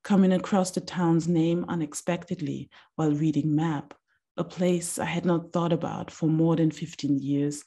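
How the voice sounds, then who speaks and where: A woman in her thirties giving a slow, deliberate reading of a reflective text over a video call from a small room. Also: low-pitched, steady tone, warm tone, slightly rough. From Austria.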